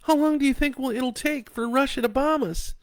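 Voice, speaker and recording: A man's voice: very high voice, moderate pace, creaky, no room sound, pitched above the performer's own voice. A man doing a frantic tearful mother. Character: frightened middle-aged mother and wife.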